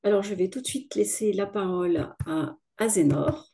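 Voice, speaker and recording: A woman moderating a webinar on a video call, thanking speakers and handing over briskly and courteously. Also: mid-range voice, creaky, background noise.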